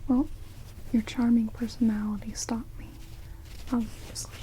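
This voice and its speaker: Woman playing a female vampire, dry and sardonic, half-whispered ASMR, muttering, fairly high pitch, flat intonation, close binaural mic. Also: measured pace, slight background noise, lightly acted, poor audio. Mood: exasperated, sarcastic. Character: sardonic, grumbling female vampire grudgingly tending an intruder. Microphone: close binaural microphones. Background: heavy rain.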